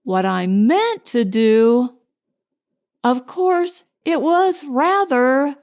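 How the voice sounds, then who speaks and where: A woman's voice: high-pitched, animated, extremely slow, darkish tone, exaggerated voice. A woman voicing a simple, honey-loving male bear in a storybook, musing earnestly and ponderously. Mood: flustered.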